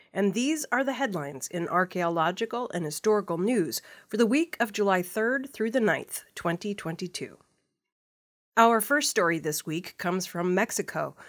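A woman reading scripted news stories as the presenter of an audio news programme. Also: medium pitch. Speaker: news reader.

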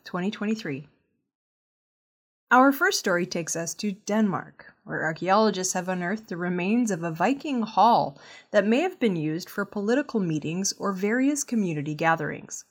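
A woman presents the news from a script. Her voice sits at an average pitch for a woman. She reads in a measured way, with each phrase clearly enunciated. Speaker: news reader.

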